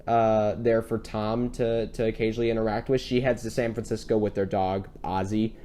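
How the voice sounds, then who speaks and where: A man hosting a casual review discussion, talking in a rambling, halting way that circles back mid-sentence. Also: on the low side, level tone, dark tone, a little hiss or hum. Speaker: podcast host.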